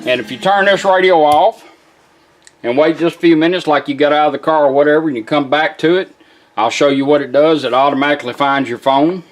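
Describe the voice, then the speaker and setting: A man's voice: on the high side, fairly quick. A man giving a casual hands-on product demonstration in informal, folksy phrasing. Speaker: product demonstrator, car radio builder.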